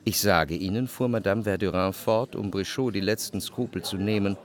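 An actor playing a reflective, wryly observant narrator in a radio play, articulate and measured in his literary storytelling. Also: fairly low pitch, lightly acted.

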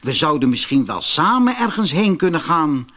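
An earnest, trusting storybook barber, voiced by a male narrator. He is a cautious working man with a husky voice and lively intonation. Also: on the high side, normal speed, exaggerated voice. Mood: cautiously hopeful. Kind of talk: storybook character voice.

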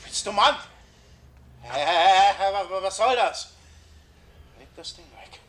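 A woman's voice: mid-range voice, lively intonation, unhurried, lightly acted. A woman playing a panicked, foul-mouthed, hot-headed crook in a German radio crime drama, exclaiming in agitation. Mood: alarmed.

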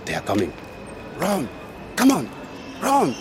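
An actor playing a ruthless, foul-mouthed drug dealer who barks commands aggressively in an audio drama. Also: male voice, a little high, huge pitch swings, takes it slowly, exaggerated voice. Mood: urgent. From Africa. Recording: noisy outdoor street ambience.

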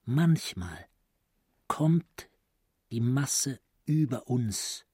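Husky, brooding male psychiatrist. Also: on the high side, warm tone, no room sound, studio quality, heavily acted. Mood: brooding, intense. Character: brooding, grandiose psychiatrist voicing his inner thoughts. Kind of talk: literary radio play monologue.

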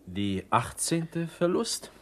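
A man acting in a radio play as a teasing Greek, speaking halting foreigner's German with a Greek accent. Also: fairly high pitch, big pitch swings, a bit bright, faint music behind, full character voice. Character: teasing Greek cellmate counting another man's losses.

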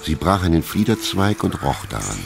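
Very deep-voiced male narrator. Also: sing-song, normal speed, vocal fry, over music. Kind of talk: scripted literary narration for radio drama.

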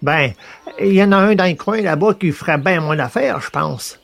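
A man's voice: sits high, normal speed, creaky, fairly bright tone, voice pushed up. A man voicing a humble, earnest French Canadian farmer in a folk tale, with a Quebec accent and hesitant, rustic phrasing. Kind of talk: comic folk tale dramatization.

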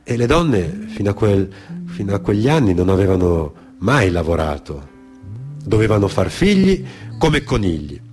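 A man performing a theatrical narrative monologue for radio, in a dramatic, deliberate manner with repetitions and weighted pauses. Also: lowish voice, big pitch swings, darkish tone. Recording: music behind the voice. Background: music.

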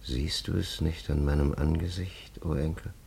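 An actor in a radio drama playing a solemn, grandiose old warrior grandfather, with a raspy voice and archaic, ponderous oratory. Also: extremely low pitch, fairly even intonation, normal speed, a little mellow, slight background noise. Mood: grave, weary. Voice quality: gravelly, raspy.

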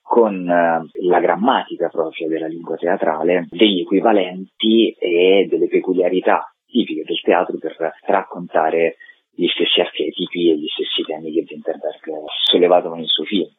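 A man answering interview questions as a theatre director, thoughtful and articulate, with elaborate phrasing and a husky voice. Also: sits low, steady tone, moderate pace. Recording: tinny phone line, dry.

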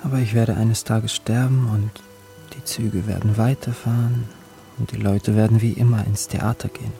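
A man's voice: lowish voice, fairly even intonation, normal speed, creaky, dark tone, soft background music. An actor playing a poor young man who narrates his own story in a radio drama, introspective and yearning. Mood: brooding. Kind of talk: literary first-person narration in radio drama.

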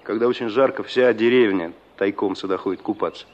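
A man's voice: fairly low pitch, fairly quick, a little mellow, close to an everyday voice. Muffled radio-play village man played by an actor. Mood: matter-of-fact. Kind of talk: Soviet radio drama acting. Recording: muffled old radio drama recording.

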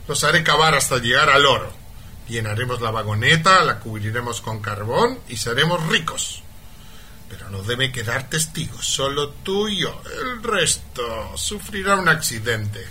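Man acting a scornful greedy mine owner, very bright forceful voice, radio melodrama, faint noise behind. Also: mid-range voice, lively intonation, a little slow. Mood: scheming, cold. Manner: contemptuous, melodramatic. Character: cruel, greedy, scheming male mine owner. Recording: light background noise.

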